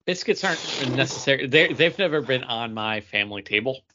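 A man's voice: medium pitch, animated, brisk, highs cut off, background noise. A man co-hosting a podcast in casual group conversation. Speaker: podcast host.

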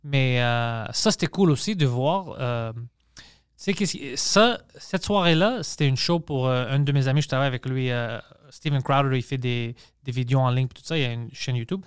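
Sweary bilingual comic host, halting accented Quebec French. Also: male voice, medium pitch, clean tone, dry recording, clean studio sound. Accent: non-native Quebec French with English mixed in. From Montreal, Canada. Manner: sweary, halting French peppered with English slang. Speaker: podcast host and stand-up comedian. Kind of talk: casual podcast chat.

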